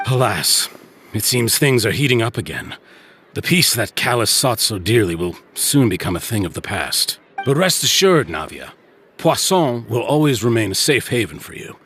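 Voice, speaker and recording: A man's voice: mid-range voice, sing-song, moderate pace, a bit bright, slightly rough, faint music behind, full character voice. A man playing a courteous, protective middle-aged uncle and guild leader in a video game. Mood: grave, protective. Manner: courteous, theatrical.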